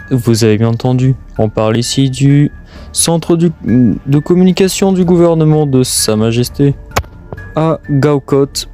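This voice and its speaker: A man reading a scripted documentary narration as presenter, in a halting way with stumbles. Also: a little high, mellow. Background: music.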